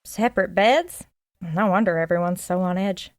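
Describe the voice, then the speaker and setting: A woman's voice: lowish voice, normal speed, natural voice. A woman playing a nosy, loyal small-town sidekick in an audio drama, with a frank, folksy and eager manner. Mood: chatty, awed. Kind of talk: audio drama dialogue acting.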